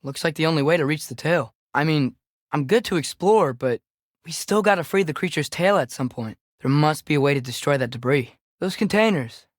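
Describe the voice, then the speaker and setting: A man's voice: on the high side, lively intonation, brisk, no echo, studio quality, lightly acted. A young male actor with an American accent playing an earnest, curious teenage boy adventurer in a video game, with a light youthful voice and casual, observant delivery. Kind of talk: video game companion dialogue.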